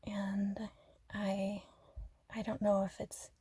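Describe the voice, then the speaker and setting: A woman from Arizona chatting softly and rambling in a half-whispered ASMR style, joking about herself. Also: mid-range voice, monotone, slow pace, breathy edge, dry recording. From Arizona, USA. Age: adult. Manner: soft, half-whispered, self-deprecating, giggly. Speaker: ASMR creator. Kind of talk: soft-spoken rambling ASMR chat.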